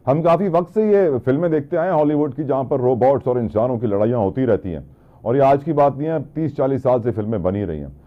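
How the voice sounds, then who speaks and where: A man's voice: mid-range voice, expressive, fast talker, highs cut off. A man with a Pakistani accent presenting a current affairs show, putting long rhetorical questions respectfully to a guest. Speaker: TV talk show host.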